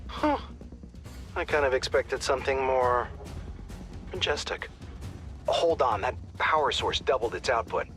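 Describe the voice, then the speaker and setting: An actor playing an alert, businesslike and slightly wry mission handler in a video game, giving scripted tactical updates. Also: male voice, mid-range voice, expressive, a little slow, long pauses, fairly bright tone, faint music behind. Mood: wry, focused.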